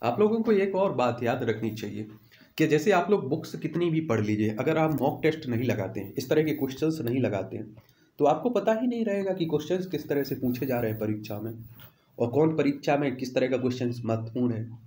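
A man's voice: mid-range voice, animated, brisk, dark tone. A man teaching exam preparation, explaining in an instructive way and repeating key points. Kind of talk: explanatory quiz lesson with study advice. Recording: roomy, echoing voice-over.

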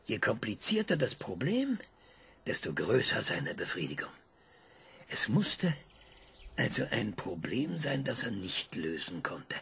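Radio play: proud, gravelly scientist; lecturing, dramatic, drops to whispers. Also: male voice, lowish voice, huge pitch swings, unhurried, full character voice. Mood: brooding. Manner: lecturing, dramatic emphasis with sudden hushed whispers. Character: proud male scientist, sharp and scornful. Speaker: scientist being interviewed.

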